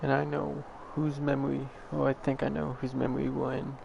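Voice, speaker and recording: A streamer with a heavily gravelly, fry-laden voice reacts casually to a game. The delivery stays flat, with clear noise behind it. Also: mid-range voice, fairly quick, muffled recording.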